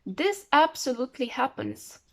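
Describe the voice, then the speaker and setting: A woman's voice: high-pitched, expressive, moderate pace. Woman vlogging with the flu. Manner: rambling, repeats words for emphasis. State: sick with flu, voice a bit off. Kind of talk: casual talk to camera.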